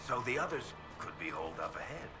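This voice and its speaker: A man's voice: mid-range voice, very animated, quick pace, over music, natural voice. An actor playing a wry, easygoing male rogue companion in a video game, with a casual manner.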